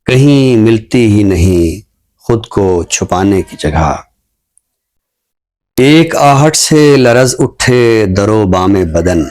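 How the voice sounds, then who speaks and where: A man with a Pakistani accent speaking in a formal, scholarly, measured way on a literary talk show, pausing mid-sentence. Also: on the low side, darkish tone. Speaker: literary talk show guest and book reviewer. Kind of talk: unscripted book commentary and poetry recitation.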